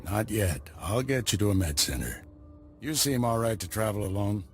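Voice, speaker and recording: An actor playing an older male Jedi Master in a video game, with a calm, reassuring manner. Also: on the low side, moderate pace, close to an everyday voice. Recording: game cutscene with music behind.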